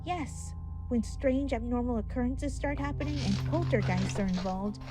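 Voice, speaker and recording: A woman's voice: fairly high pitch, lively intonation, moderate pace, dark tone, soft background music, lightly acted. A woman voicing a cautious, fearful female unicorn pony who turns snappish, in a horror fan fiction reading. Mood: wary.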